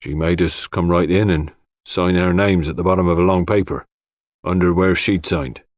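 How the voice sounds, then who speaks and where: A man acts out an older rustic gardener in an audiobook, very low and mumbling. He speaks slowly and cautiously, with humble deference, in a dry close recording. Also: fairly even intonation, dry recording, close to an everyday voice. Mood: cautious, deferential. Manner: mumbling, hesitant, slow rustic speech. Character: slow, cautious, deferential older male gardener with bent back.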